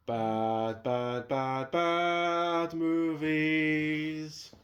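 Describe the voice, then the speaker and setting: A man's voice: fairly high pitch, fairly even intonation, drawn-out words, lo-fi sound. A man hosting a casual chat that ranks movies, rambling and opinionated, with frequent restarts. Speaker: video host. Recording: echoey room sound.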